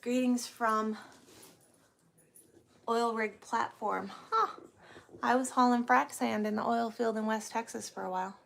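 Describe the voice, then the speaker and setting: A woman's voice: fairly high pitch, steady tone, a little slow, gravelly, pauses often, fairly bright tone. A woman in her forties chatting casually and candidly on a livestream, rambling as she answers viewer comments. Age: 40s. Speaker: livestream host, truck driver.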